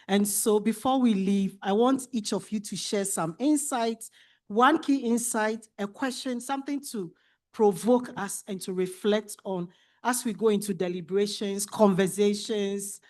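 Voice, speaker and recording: Measured woman moderating a panel. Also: medium pitch.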